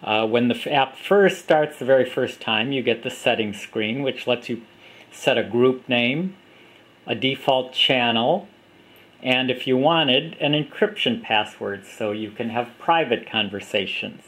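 A man giving a plain, unhurried walkthrough of an app, recorded on a camera mic indoors at a table. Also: medium pitch, a bit bright. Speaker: app developer demonstrating his app. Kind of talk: casual product demo.

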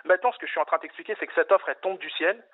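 A man's voice: on the high side, rapid-fire. A male caller making an insistent case about football on a radio phone-in, with many fillers and restarts. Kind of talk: animated phone-in football debate. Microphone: telephone. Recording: tinny phone line.

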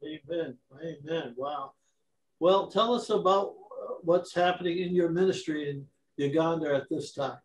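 An older man interviews guests over a webcam from his living room, answering with gentle amens. His voice is slightly high and the room around him sounds echoey. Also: a little slow. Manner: gentle, affirming with frequent amens. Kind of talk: interview on a video call. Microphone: video call webcam. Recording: roomy echoing webcam audio.

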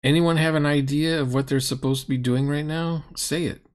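A man's voice: on the high side, steady tone, normal speed. A middle-aged man giving blunt, sarcastic, exasperated commentary in reaction to a roleplaying game. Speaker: critic reacting to a tabletop roleplaying video.

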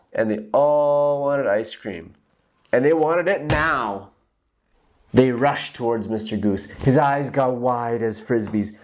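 A man in his thirties reading a children's story aloud with dramatic, deliberate emphasis and stretched words. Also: medium pitch, a little mellow. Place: small room at home, indoors.

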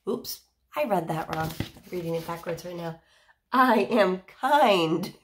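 A woman's voice: on the low side, expressive, measured pace. A woman in her thirties reading a picture book aloud to young children as a teacher, explaining simply and putting questions to her listeners.

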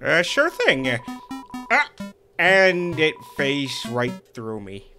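Guy playing a cocky mouthy wisecracker, highish bright voice, casual mocking, game dub w music. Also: very animated, takes it slowly, exaggerated voice. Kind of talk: comedic fan dub of a video game.

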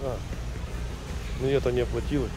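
A man's voice: medium pitch, fairly even intonation, brisk, crowd noise, poor audio. A man chatting casually with a friend while shopping in a large warehouse store. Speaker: shopping companion. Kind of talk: casual chat. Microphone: off-camera, picked up by vlogger's camera.

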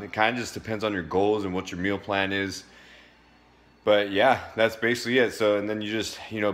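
A man in his thirties giving a casual, informal how-to talk to a phone in a home kitchen, plain-spoken like a fitness vlogger. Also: sits low. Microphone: phone at arm's length.

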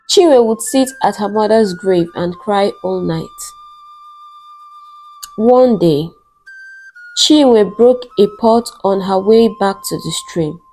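Slow woman storyteller, mellow voice. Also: fairly high pitch, low-quality recording. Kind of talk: scripted folktale narration.

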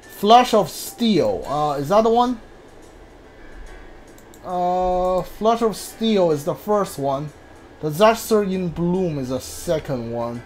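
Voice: mid-range voice, sing-song, darkish tone, soft background music. A video game player giving casual, hesitant commentary on a playthrough, muttering and thinking aloud. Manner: hesitant, halting, muttering to self.

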